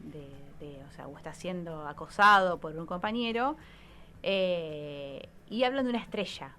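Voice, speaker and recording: A woman's voice: lowish voice, lively intonation, a little slow, sharp tone. A woman with an Argentine accent talking conversationally as an expert radio guest, explaining things and often checking with little tag questions. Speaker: psychologist guest columnist.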